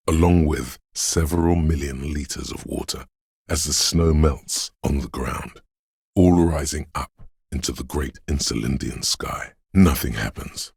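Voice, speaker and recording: A man voicing a brooding, omniscient inner voice of a city in a video game, narrating gravely and poetically in a measured, husky, gravelly voice. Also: extremely low pitch, no echo, clean studio sound, exaggerated voice. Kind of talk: slow literary second-person game narration.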